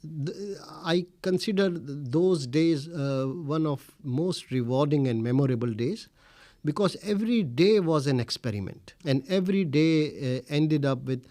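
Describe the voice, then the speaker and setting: A middle-aged man from India whose first language is Urdu reflects on his career in a measured, formal way. He pauses often with "uh" as he searches for phrasing. The sound is dry and close, with no room echo. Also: a little high, expressive, warm tone. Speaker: interviewee, scholar. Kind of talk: reflective interview answers.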